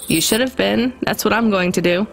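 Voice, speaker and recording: A woman's voice: medium pitch, brisk, gravelly, soft background music, lightly acted. An actress playing a weary, caring young woman who works as a delivery driver in a video game. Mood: tired. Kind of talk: naturalistic video game acting.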